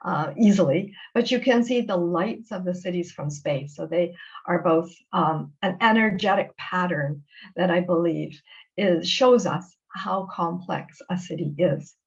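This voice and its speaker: Woman hosting a lo-fi video-call interview. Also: on the low side. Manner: enthusiastic, with frequent restarts and fillers. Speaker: interview host. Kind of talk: warm conversational interview.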